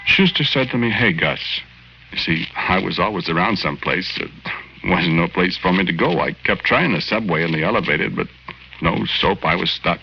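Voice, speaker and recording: A man's voice: sits low, animated, normal speed, vocal fry, noisy background. A man acting in a 1940s radio drama as a good-hearted, sentimental ghost, telling his story in folksy, ungrammatical slang. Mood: folksy, eager. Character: good-hearted lovelorn working-class man's ghost, sentimental and naive. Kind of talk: 1940s radio acting, first-person narration. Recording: lo-fi 1940s radio recording, loud music.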